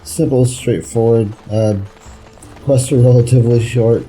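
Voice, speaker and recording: A man's voice: mid-range voice, steady tone, unhurried, warm tone, soft background music, poor audio. A man giving casual gameplay commentary, laid-back, muttering short asides as he plays.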